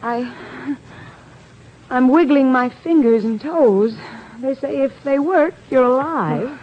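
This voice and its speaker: A woman acting a sharp-witted, direct, suspicious young society woman in a 1950s radio drama. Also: sits high, lively intonation, slow. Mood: shaken, wry. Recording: muffled, noisy 1950s radio recording.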